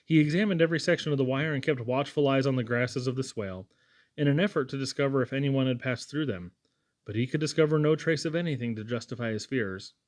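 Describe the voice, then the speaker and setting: A man's voice: mid-range voice, fast. A man reading a novel aloud as scripted audiobook narration. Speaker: audiobook reader.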